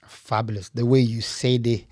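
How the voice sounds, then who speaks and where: A man's voice: mid-range voice, little pitch movement, normal speed, a little mellow. A man hosting a podcast, interviewing in a casual style and often repeating words and phrases back. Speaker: podcast host.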